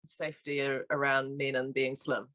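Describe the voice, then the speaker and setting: A woman co-hosting a podcast, asking the guest questions in a calm, gently probing way. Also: very deep voice, moderate pace, no room sound. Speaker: podcast co-host. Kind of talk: interview questions.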